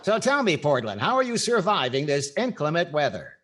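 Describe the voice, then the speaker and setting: A man's voice: on the high side, huge pitch swings, brisk, a bit bright, full character voice. An older man playing a wry, wisecracking comedy host in a 1940s radio style. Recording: clear background noise and clicks.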